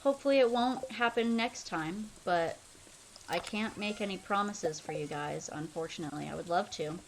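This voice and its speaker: A woman's voice: on the low side, moderate pace, a little hiss or hum, rough recording. A young woman streaming a game, chatting casually in a loose, rambling way full of fillers. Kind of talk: casual chat while playing a game.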